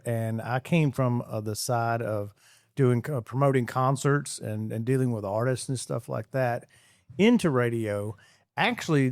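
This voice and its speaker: A man's voice: medium pitch, darkish tone, no echo, studio quality. A man from Georgia, a radio DJ chatting casually in an interview, rambling and repeating his words. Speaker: radio DJ guest.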